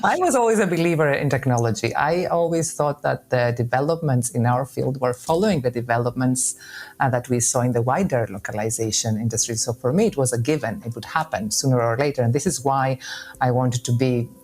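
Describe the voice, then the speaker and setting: Very low-voiced woman. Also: a little fast, a little mellow. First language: Greek. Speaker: interview guest, industry expert. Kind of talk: podcast interview.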